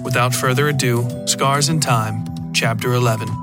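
A man's voice: mid-range voice, steady tone, moderate pace. Man narrating horror fiction over prominent music. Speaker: podcast narrator.

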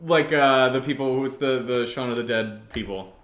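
A man co-hosting a casual chat, excitable and goofy, jumping in with tangents. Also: mid-range voice, moderate pace. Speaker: podcast co-host. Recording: roomy, echoey indoor sound.

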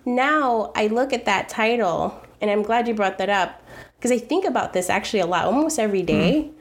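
An American woman in her thirties, a food video creator, speaking earnestly and thoughtfully in an interview, often pausing to restart her sentences. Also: medium pitch, expressive. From United States. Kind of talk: reflective interview conversation.

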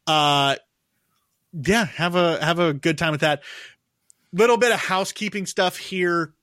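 A man's voice: fairly high pitch, measured pace, a bit bright, no room sound, studio quality. A man co-hosting an entertainment show with informal, conversational delivery. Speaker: co-host of an entertainment news show.

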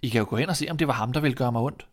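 Fairy-tale youngest son, played by a male reader, guileless, plain-spoken, insistent, not very bright. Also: medium pitch, natural voice. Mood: innocent, explaining. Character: dim-witted fearless youngest son, earnest and naive boy.

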